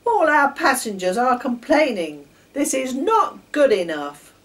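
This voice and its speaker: A woman's voice: fairly high pitch, sing-song, slow, recorded from a distance, voice pushed up. A woman voicing a strict, officious male station master in a storybook. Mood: sharp. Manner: sharp, commanding.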